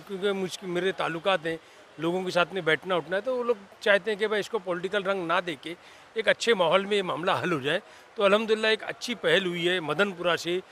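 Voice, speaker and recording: A man with a high, forceful, bright voice. He explains things at length as a community representative, with faint city noise behind him. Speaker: community leader, social worker. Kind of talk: explanatory interview answer.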